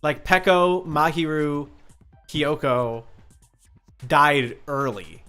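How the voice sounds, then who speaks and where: A man's voice: on the high side, very slow, sharp tone, clear, resonant tone. A man streaming a game and chatting casually, giving emphatic, opinionated commentary. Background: game music.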